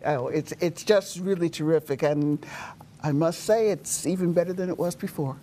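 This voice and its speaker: A woman's voice: normal speed, slight background noise. An older woman hosting a studio talk show interview, laughing often as she chats with her guests. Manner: laughs often, lively animated pitch. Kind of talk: warm studio interview chat. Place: TV studio with dark curtains.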